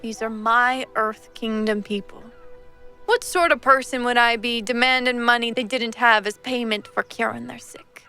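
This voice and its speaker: A woman's voice: fairly high pitch, steady tone, moderate pace, sharp tone, clean tone, faint music behind. A woman voicing a shrewd but kind older female pirate captain, speaking in a wry, confident, teasing way, in a cartoon dub. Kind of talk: fan comic dub acting.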